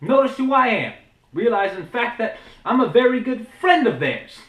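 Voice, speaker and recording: A man's voice: very high voice, very animated, moderate pace, fairly bright tone, far from the mic, pitched above the performer's own voice, full character voice. A man acting a swaggering, boastful frontier showman who tells tall tales in a theatrical, grandiose stage monologue. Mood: boastful, excited. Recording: roomy, echoing indoor recording.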